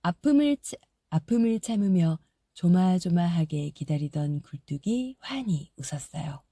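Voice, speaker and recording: A woman narrating a fairy-tale audiobook in a soft, gentle storytelling manner. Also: on the low side, expressive, a little slow, no echo, studio quality.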